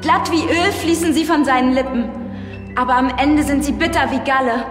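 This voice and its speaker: Woman playing a fervent young nun praying solemnly, high voice, super echoey with music behind. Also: normal speed, natural voice. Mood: solemn, stern. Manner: solemn, reciting prayers and scripture. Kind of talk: TV drama acting.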